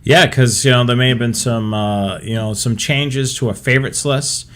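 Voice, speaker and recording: A man's voice: medium pitch, normal speed, a bit bright. A man co-hosting a hobby show, chatting casually and talking listeners through examples and advice.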